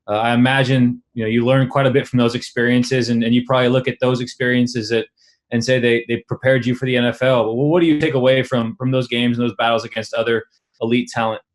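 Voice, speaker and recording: A man working as a sports reporter asks a long, hesitantly phrased question on a conference call. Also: mid-range voice.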